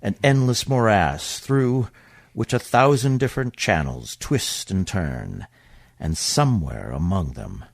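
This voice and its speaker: An actor playing a solemn narrator, giving dramatic scripted narration in a radio play. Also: male voice, low voice, sing-song, a little slow, vocal fry, no echo, studio quality, full character voice. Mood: grave, dramatic.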